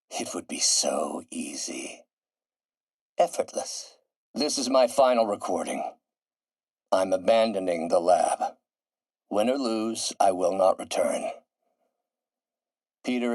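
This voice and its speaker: A man playing a brilliant, embittered middle-aged scientist turned villain in a video game, speaking in a theatrical, deliberate, articulate way with a husky, breathy voice. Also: fairly low pitch, exaggerated voice. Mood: brooding menace. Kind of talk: dramatic video game monologue.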